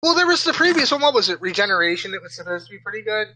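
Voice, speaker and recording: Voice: medium pitch, very animated, rapid-fire, bright, forceful tone, slight background noise. A podcast co-host chatting casually and enthusiastically about video games. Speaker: podcast co-host and game reviewer. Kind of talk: casual gaming podcast chat.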